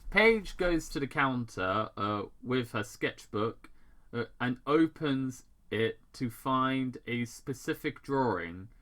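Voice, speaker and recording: A man reads a story aloud in an informal, sardonic way with loose side commentary. His voice is bright and forceful, with lively pitch movement and an unhurried pace that stumbles now and then. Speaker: host reading fan fiction.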